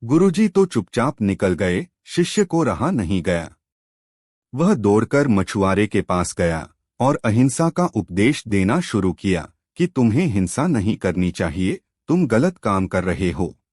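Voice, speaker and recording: A man telling a moral story in an unhurried, expressive way. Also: fairly low pitch, no echo, studio quality.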